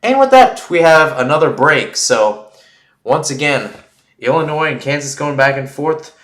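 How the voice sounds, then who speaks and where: A man giving live play-by-play football commentary in a halting way, often repeating his phrases. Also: on the high side, animated, a bit bright. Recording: roomy, echoing sound.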